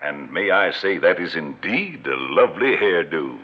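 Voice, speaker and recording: An actor in an old radio drama playing a smooth, pompous middle-aged con man with florid, grandiloquent speech. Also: low-pitched, lively intonation, normal speed, a little hiss or hum. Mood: unctuous. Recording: dull vintage radio recording.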